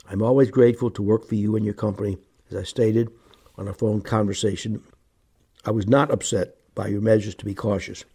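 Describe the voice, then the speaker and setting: Middle-aged male, low and husky, sincere and unpolished, halting delivery of a company boss speaking plainly. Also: warm tone. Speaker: business owner reading staff emails and reflecting. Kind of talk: sincere personal remarks.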